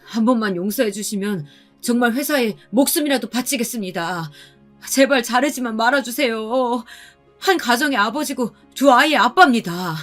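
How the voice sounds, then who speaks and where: A woman voicing a middle-aged male department manager who begs loudly and with exaggeration. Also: a little high, lively intonation, moderate pace, soft background music, voice pushed up. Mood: pleading. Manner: loud, exaggerated begging.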